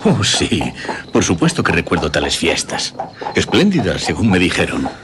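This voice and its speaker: A man with a Castilian Spanish accent playing a formal, courteous but insistent gentleman in a radio drama. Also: low voice, very animated, moderate pace, noisy background. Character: courteous, eager gentleman listening to an old friend.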